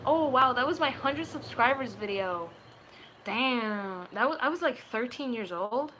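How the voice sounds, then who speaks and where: A young woman of about twenty with a bright, crisp-edged voice talks casually to her viewers. She rambles playfully, and her rather high voice comes through slightly noisy webcam audio in a room at home. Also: expressive, a little slow. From Puerto Rico. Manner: playful, rambling, slips into put-on accents and voices. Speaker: video creator chatting with viewers. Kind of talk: casual live chat. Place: small room at home, indoors.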